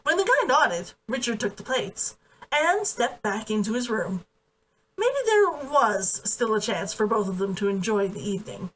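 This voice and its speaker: A woman's voice: on the high side, very animated, normal speed, fairly bright tone. A woman reading fiction aloud in a dramatic, emphatic storytelling style. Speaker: author reading own book aloud. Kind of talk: expressive storybook narration. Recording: voice distant from the mic.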